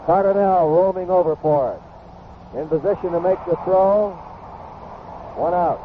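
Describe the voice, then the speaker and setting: A man's voice: sits high, measured pace. A man calling live baseball play-by-play as an announcer on an old radio broadcast. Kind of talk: live sports play-by-play commentary. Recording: noisy tinny vintage 1970s radio broadcast.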